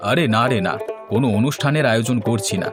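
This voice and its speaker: Casting: male actor as fretful village family man for a cartoon, mid-pitched, food-loving, light music underneath. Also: fast, lightly acted. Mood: fretful, chatty. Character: worrying, big-eating village husband and uncle. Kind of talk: cartoon dialogue. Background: instrumental music.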